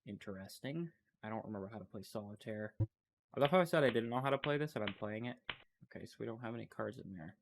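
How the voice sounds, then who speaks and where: A man's voice: on the low side, expressive, fast talker, slight background noise. A man with a congested, stuffy voice, casually commenting as he clicks through a computer demo and thinks aloud. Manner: thinking aloud, loose and unscripted. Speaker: tech channel host. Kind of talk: casual screen-recording commentary.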